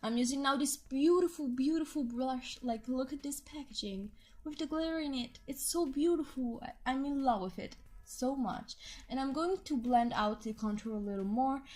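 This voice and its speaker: Hoarse young woman narrating makeup tutorial. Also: high voice, normal speed. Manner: chatty and enthusiastic. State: hoarse, losing her voice. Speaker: beauty vlogger. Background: soft background music.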